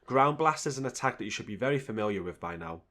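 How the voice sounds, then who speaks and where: A man's voice: on the low side, lively intonation, quick pace, bright, forceful tone. A man giving a scripted narration as the presenter of a video game guide.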